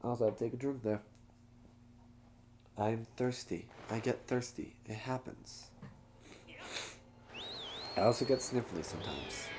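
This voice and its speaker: A man hosting a let's play, giving casual, joking commentary as he plays. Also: mid-range voice.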